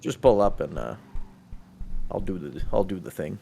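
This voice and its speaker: A man's voice: deep voice, lively intonation, moderate pace, gravelly, mellow. A man chatting casually and swearing now and then while playing a role-play game online. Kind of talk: casual gaming chat during role-play.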